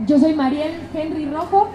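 Mexico city lady musician chatting in an interview, high super mellow voice, echoey dull recording. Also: normal speed. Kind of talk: casual conversational explaining. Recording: muffled, echoey room, faint noise.

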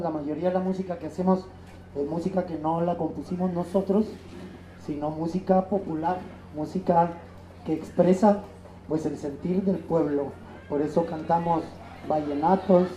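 A man's voice: on the high side, mellow. A middle-aged man, a musician giving earnest interview answers. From Mexico, born in Chile. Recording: dull, echoey room, faint noise.

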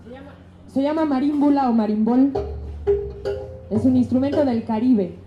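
A woman's voice: high-pitched, moderate pace, over music. A middle-aged woman with a Mexico City accent, a musician explaining things casually in an interview. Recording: muffled, echoey room, faint noise.

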